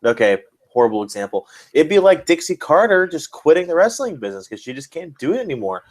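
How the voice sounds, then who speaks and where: A man's voice: on the high side, sing-song, fast talker, darkish tone. A man hosting a casual wrestling podcast, ranting and bantering in an emphatic, sarcastic way. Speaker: podcast host.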